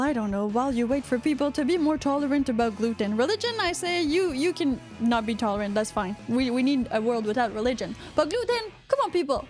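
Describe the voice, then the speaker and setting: A woman from Quebec with a Quebecois accent, co-hosting a casual live podcast, rambling and joking as she reads out chat comments. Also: high voice. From Quebec, Canada. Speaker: podcast co-host.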